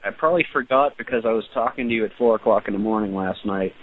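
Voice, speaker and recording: A man's voice: lowish voice, quick pace. A man co-hosting a call-in radio show, making casual, joking asides. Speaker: radio co-host. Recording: phone-like lo-fi radio audio.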